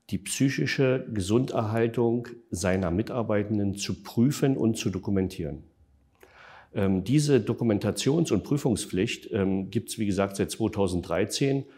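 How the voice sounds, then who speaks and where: A man with a low, dark and husky voice answers interview questions. He explains facts and figures in a steady, measured way, pausing often on filler sounds. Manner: measured and factual, frequent hesitation fillers. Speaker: interview guest, developer of an online tool.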